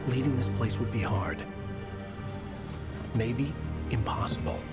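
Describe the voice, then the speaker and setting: A man's voice: medium pitch, slow pace. A haunted, determined male writer. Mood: haunted, reflective. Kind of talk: game drama and scripted narration. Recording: loud music under the voice.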